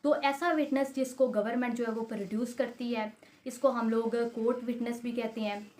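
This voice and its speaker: A woman's voice: a little high, steady tone, moderate pace, darkish tone. A woman with a Pakistani accent giving an explanatory law lecture, mixing in English terms and rephrasing her points in a didactic way.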